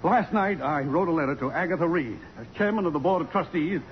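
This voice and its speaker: An actor as a fretful college board chairman, high-voiced and blustery, in a 1950s radio play. The old recording is muffled and reverberant. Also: lively intonation, a little fast, a little hiss or hum, natural voice. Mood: urgent. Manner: agitated, blustery. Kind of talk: 1950s radio drama acting.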